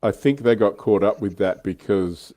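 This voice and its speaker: A man's voice: fairly low pitch, normal speed, warm tone. A man hosting a livestream, chatting casually and jokingly about tabletop wargaming. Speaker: livestream host.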